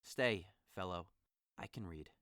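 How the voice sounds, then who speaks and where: A man's voice: low-pitched, measured pace, a bit bright, no echo, clean studio sound. A man playing a lovesick, melancholy young nobleman with dramatic, emotive delivery in an audio drama. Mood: polite, curious. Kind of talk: audio drama acting of modernized Shakespeare.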